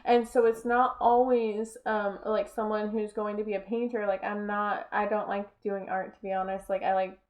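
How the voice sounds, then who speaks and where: A woman's voice: mid-range voice, level tone, moderate pace, few pauses. A woman in casual conversation, speaking candidly and with strong opinions in informal language, on a slightly muffled recording. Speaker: seamstress and art model.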